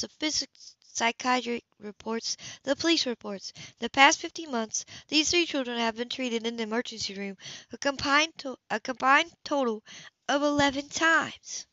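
A woman's voice: on the high side, pushed and bright, dry recording, lo-fi sound. A woman reading a romance novel aloud as an amateur narrator, stumbling and restarting now and then. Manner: reads with frequent slips and restarts. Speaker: audiobook reader.

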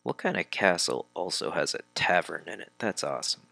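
A man's voice: low-pitched, expressive, brisk, creaky, sharp tone, no echo. A man giving casual let's play commentary, reading dialogue aloud and muttering informal asides to himself. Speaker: video game let's player.

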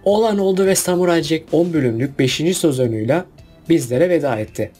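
A man's voice: a little high, animated, a little fast, dark tone, breathy edge, soft background music. A man narrating a casual countdown video about cartoon finales in a chatty voice-over.

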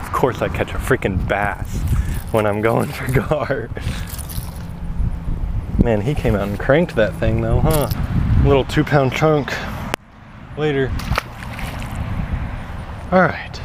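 A young man chats casually through an action camera strapped to his head while fishing a pond outdoors. He talks slowly and loosely, breaking into loud excited outbursts at every catch. The audio is thin and noisy, full of outdoor ambience. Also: mid-range voice. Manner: excitable, exclaims at each catch. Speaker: fishing vlogger. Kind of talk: casual first-person fishing vlog. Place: outdoors at a pond by a large building. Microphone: head-mounted action camera.

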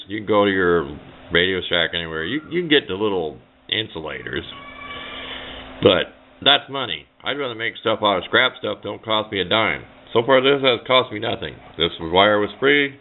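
A man giving a casual hands-on how-to demonstration in a plain-spoken, rambling, offhand way. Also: fairly low pitch. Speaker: hobbyist presenter. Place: indoors at a workbench. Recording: tinny, band-limited camera microphone sound.

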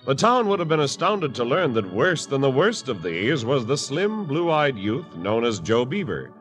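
Man, old-time radio announcer, emphatic, bright tone. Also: medium pitch, big pitch swings, moderate pace, faint music behind. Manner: dramatic, emphatic delivery. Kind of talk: 1950s radio drama narration.

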